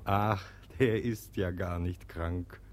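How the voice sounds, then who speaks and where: An actor playing a sensible, amused brother in a radio comedy, reasoning and confiding with gentle mockery. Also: male voice, deep voice, fairly even intonation, slow, music in the background. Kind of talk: German radio play comedy acting.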